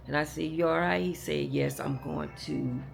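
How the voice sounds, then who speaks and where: A hoarse woman, telling a long story to her viewers in a casual, rambling way, with many restarts. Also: low-pitched, fairly even intonation, normal speed, music in the background. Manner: rambling, repeats and restarts, talks straight to "y'all". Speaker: vlogger.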